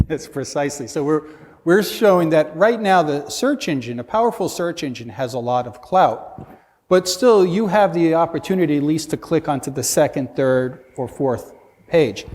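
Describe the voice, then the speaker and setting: A man's voice: fairly high pitch, expressive, normal speed, darkish tone. A man speaking as a conference panelist, earnestly building his case with rhetorical questions and frequent restarts. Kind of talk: panel discussion. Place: conference hall. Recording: reverberant conference hall.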